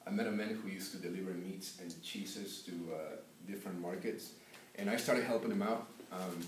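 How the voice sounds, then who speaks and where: A young man who grew up in Peru tells a personal story for a class assignment, pausing often on filler sounds. His voice sits somewhat low. It comes from a distance in an echoey classroom with a faint hum behind it. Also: steady tone. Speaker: student giving a class speech.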